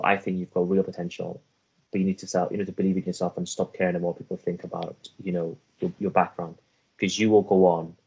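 Welsh-accented guy from afghanistan, really low voice, earnest and halting, kinda dull lo-fi sound. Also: a little mellow, breathy edge. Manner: earnest, halting, with many restarts and fillers. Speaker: interview guest. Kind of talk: reflective personal storytelling.

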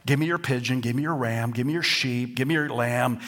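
A man with a Southern US accent preaching a sermon as pastor, lively and at times rising to near-shouts and singing. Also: medium pitch, fairly quick.

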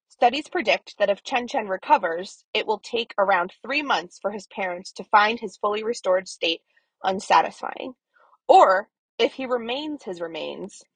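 A woman reading a poem aloud in a measured, deadpan way. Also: medium pitch, husky, no room sound, rough recording. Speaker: community poetry reader. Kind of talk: poetry reading.

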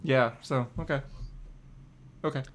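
A man's voice: mid-range voice, a little slow. A man co-hosting a podcast in casual chat, with a dry, understated way of talking. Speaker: comic book podcast co-host.

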